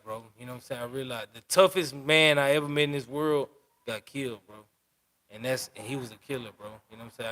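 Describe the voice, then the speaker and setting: This is a podcast host in his twenties, speaking in a relaxed and open way. His voice sits at an average pitch. He talks in loose street slang and keeps checking in with his guest. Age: 20s. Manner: loose street talk, keeps asking "you know what I'm saying". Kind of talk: casual interview.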